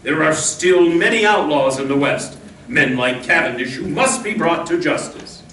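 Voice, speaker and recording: A woman playing a noble masked male Ranger in an old-time radio Western, speaking earnestly in measured declamation. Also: deep voice, animated, fairly bright tone, slight background noise. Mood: earnest, resolute. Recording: roomy distant stage pickup with music.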